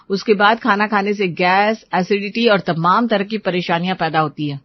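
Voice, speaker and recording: A woman's voice: medium pitch, no echo, highs cut off, lightly acted. A woman voicing a strict, fed-up young daughter-in-law, firm and lecturing, in a family story.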